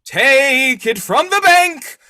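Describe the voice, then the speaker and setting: A man playing a wailing male phantom in old-time radio style, stretching out his words in a high voice. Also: animated, slow pace, bright, forceful tone, heavily acted. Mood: commanding, wailing. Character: spooky wailing male ghost, a put-on phantom.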